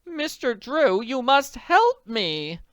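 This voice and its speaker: Shrill, fretful society lady. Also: big pitch swings, no echo, clean studio sound, heavily acted. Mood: distressed. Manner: breathless, drawn-out, pleading. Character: agitated elegant middle-aged woman, fretful and tearful. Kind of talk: audiobook character voice.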